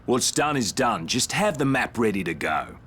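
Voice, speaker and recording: Game survivor: mid-pitched, highly inflected, terse, impatient and sardonic, light background noise. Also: male voice, big pitch swings, normal speed, a bit bright. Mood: wary impatience. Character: blunt, impatient, distrustful male survivor. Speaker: video game character. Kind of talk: dramatic video game cutscene acting.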